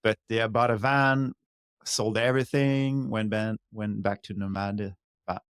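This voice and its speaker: A male podcast guest with a Quebec French accent chats casually about his own life. His voice sits fairly low, and French is his first language. The recording is dry and close. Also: steady tone, measured pace, clear, resonant tone, no room sound. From Quebec, Canada. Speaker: podcast guest, former downhill mountain bike racer. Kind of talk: casual chat, telling own story.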